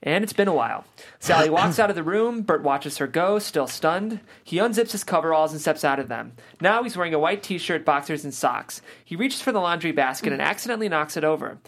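A woman reading stage directions in a measured, matter-of-fact way at a table read. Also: low voice, lively intonation. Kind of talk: scripted narration of stage directions.

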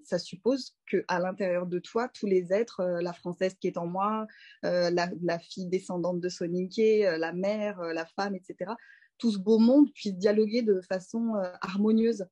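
A woman in her thirties from France, a doula speaking as a guest in a relaxed interview, thinking aloud with frequent fillers and restarts. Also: mid-range voice, normal speed. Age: 30s. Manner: reflective, many fillers and restarts. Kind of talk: casual conversational interview.

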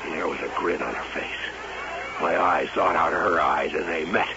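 A man's voice: rumbling low, steady tone, moderate pace, vocal fry, background noise. A man acting a harried, irritable showman husband in an old-time radio drama, curt, intense and theatrical as he talks and narrates. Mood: tense narration. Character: harried, irritable showman husband, telling his own downfall. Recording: muffled old radio broadcast.

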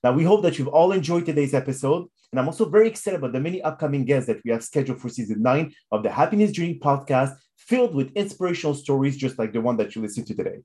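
A man's voice: medium pitch, expressive, fast talker, hardly any pauses, darkish tone. A man hosting an interview podcast in a formal, effusive and motivational manner. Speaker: podcast host.

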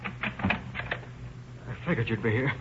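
Surly wounded young suspect, muffled radio. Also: male voice, mid-range voice, extremely slow. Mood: pained, evasive. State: in pain, weary. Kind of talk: 1930s-40s old-time radio crime drama acting. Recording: muffled lo-fi old radio recording, faint noise.

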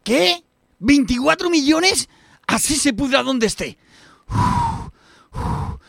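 A man's voice: very high-pitched, huge pitch swings, takes it slowly, gravelly, a bit bright, no echo, studio quality, heavily acted. A man from Spain playing a greedy, bossy, scornful middle-aged eldest brother in a radio soap. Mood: outraged. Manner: exclamatory, scornful, sarcastic. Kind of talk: amateur radio soap acting.

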